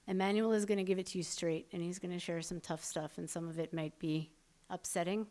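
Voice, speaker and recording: Upbeat woman introducing panel guests. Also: lowish voice. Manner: upbeat, conversational, some fillers. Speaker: event moderator. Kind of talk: introducing speakers at a live event.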